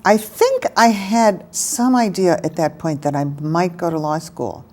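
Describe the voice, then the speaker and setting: Low-voiced thoughtful woman in her sixties. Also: very animated, a little mellow. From Alabama, American South. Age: 60s. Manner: thoughtful, measured, pauses with fillers. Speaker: interviewee, lawyer. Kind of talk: oral history interview.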